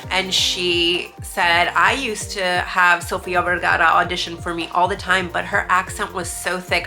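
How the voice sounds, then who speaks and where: A woman's voice: fairly low pitch, pushed and bright. An American woman in her forties telling personal stories in a chatty, rambling vlog, with restarts and asides. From United States. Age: 40s. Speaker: vlogger. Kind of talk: casual personal storytelling.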